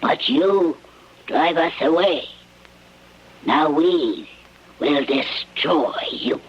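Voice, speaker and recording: A small, wary alien visitor for a radio drama. The speech is extremely slow, formal and halting, with a husky, breathy tone that carries menace. The recording is dull and lo-fi. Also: medium pitch. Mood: solemn warning. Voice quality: husky, breathy, often near a whisper. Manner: halting, stilted, formal phrasing without contractions.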